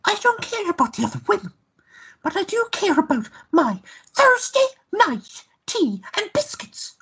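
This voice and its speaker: A woman playing a jealous, sharp-tongued lover in a radio play, indignant and melodramatic. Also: very high-pitched, big pitch swings, measured pace, full character voice, poor audio. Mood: wounded, tearful.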